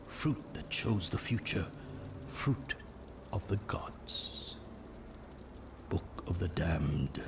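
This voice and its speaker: Low-voiced solemn legend narrator. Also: male voice, noisy background. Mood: solemn, epic. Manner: solemn, portentous. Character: solemn male narrator of dark ancient legends. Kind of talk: dramatic scripted storytelling narration.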